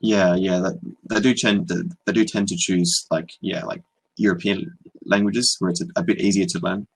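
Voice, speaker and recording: A young man with an Australian accent chats on a video call. His voice sits very low and sounds a little husky. He talks in an easygoing, hesitant way, pausing often on "um" and starting phrases over. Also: poor audio. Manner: relaxed, hesitant, many ums and restarts. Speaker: online English tutor. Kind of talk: casual video-call conversation.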